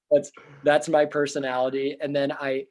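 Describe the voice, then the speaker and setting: Earnest young man, loud and animated. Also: on the high side, a little slow. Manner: loud, earnest, thinks aloud with fillers and restarts. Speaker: therapist and interview guest. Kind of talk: reflective casual interview talk.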